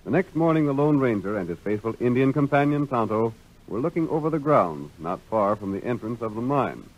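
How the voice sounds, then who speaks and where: A man's voice: mid-range voice, big pitch swings, brisk, dull audio. A man with an American accent narrating a scripted 1930s radio drama as its announcer. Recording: vintage 1930s radio recording.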